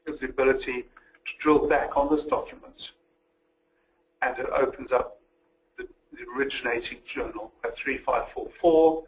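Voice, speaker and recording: A man giving a methodical, step-by-step walkthrough as a software demonstrator in a recorded webinar. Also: medium pitch, low-quality recording. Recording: noticeable background noise.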